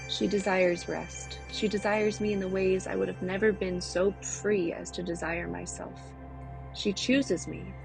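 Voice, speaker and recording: A woman's voice: mid-range voice, creaky. A woman reading a poetic scripted monologue in an intimate, earnest manner. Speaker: monologue performer. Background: music.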